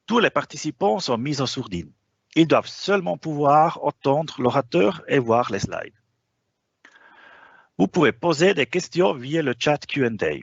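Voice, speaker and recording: A man's voice: fairly high pitch, gravelly, slightly rough, no room sound, lo-fi sound. A man whose first language is not French, presenting a formal webinar with frequent hesitations. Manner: hesitant, frequent "euh" fillers.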